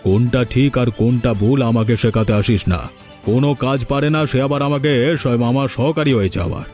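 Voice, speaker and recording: A man performs a cartoon villain, an ageing village chief who bullies and commands. His voice sits at a middling pitch and scolds harshly, with music underneath. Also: fairly even intonation, brisk, darkish tone, lightly acted. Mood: angry, domineering. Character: bullying, domineering older male village headman, villain. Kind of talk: cartoon folk-tale voice acting.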